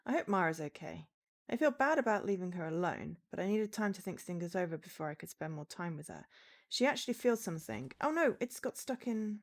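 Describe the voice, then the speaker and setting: Lively, lower-pitched woman game streamer. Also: brisk. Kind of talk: live stream chat and reading game text aloud.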